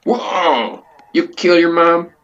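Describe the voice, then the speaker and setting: A man playing a brash, dim, impatient comedy show host, blunt and exclamatory, quick to dismiss people. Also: on the low side, expressive, bright, forceful tone, highs cut off, exaggerated voice.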